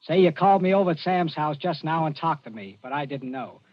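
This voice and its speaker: An actor playing a desperate, touchy small-time American gangster in a 1940s radio drama, slangy and edgy. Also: male voice, on the high side, lively intonation, fairly quick, natural voice. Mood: cold, threatening. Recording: dull, noisy old radio recording.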